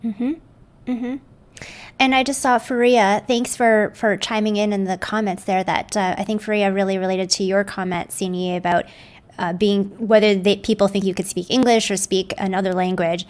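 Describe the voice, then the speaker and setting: A woman's voice: medium pitch, fairly even intonation, normal speed. A woman hosting a live panel discussion, conversational and affirming, with frequent "um" and "mm-hmm". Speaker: host.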